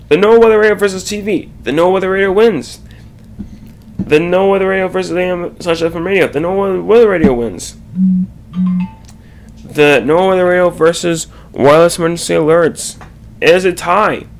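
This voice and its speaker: A man reading a scripted slide presentation aloud, haltingly, correcting himself and adding asides. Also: high-pitched, a little hiss or hum, poor audio.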